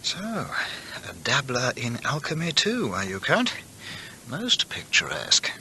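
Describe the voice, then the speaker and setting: An actor playing an inquisitive travel writer and amateur antiquarian, genteel, precise and chatty, in a radio drama. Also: male voice, mid-range voice, wildly swooping pitch, takes it slowly, sharp tone, slight background noise, exaggerated voice, rough recording. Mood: hushed musing. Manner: genteel, precise, musing to himself. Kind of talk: radio drama acting and narrated journal.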